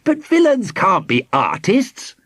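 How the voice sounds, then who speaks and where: A man's voice: high voice, huge pitch swings, takes it slowly, a bit bright, no echo, clean studio sound, pitched above the performer's own voice, heavily acted. A man voicing an earnest, indignant young boy in a storybook, exclaiming with disapproval.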